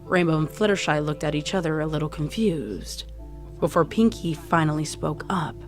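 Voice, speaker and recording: A woman's voice: low voice, animated, moderate pace. A woman narrating a scripted story as a fan fiction reader. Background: music.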